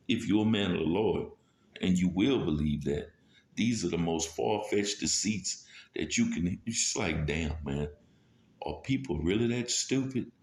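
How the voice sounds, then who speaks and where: A man preaching scripture in an informal, blunt and scornful way, with profanity and mocking rants, recorded in a roomy space. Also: fairly low pitch. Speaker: street-style religious preacher. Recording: roomy, echoing sound.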